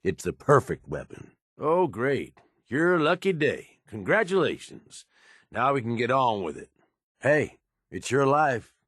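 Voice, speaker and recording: An actor playing a blunt, pushy, cunning raider schemer in a video game, with a streetwise American manner of speech. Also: male voice, mid-range voice, sing-song, measured pace, fairly bright tone, breathy edge, no room sound, clean studio sound, full character voice. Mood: wry, pushy. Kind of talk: video game character dialogue.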